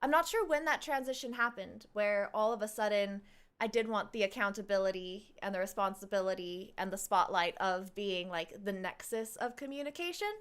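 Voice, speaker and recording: A woman's voice: mid-range voice, normal speed, fairly bright tone, clean tone. A woman streaming a game and talking casually, in a reflective, rambling, slangy way as she reacts to it. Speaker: live streamer playing a game.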